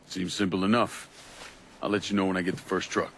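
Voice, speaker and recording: A man's voice: deep voice, little pitch movement, fairly quick, fairly bright tone, slight background noise. A man playing a grim, businesslike criminal in a cinematic game, terse and cool. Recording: music behind the voice.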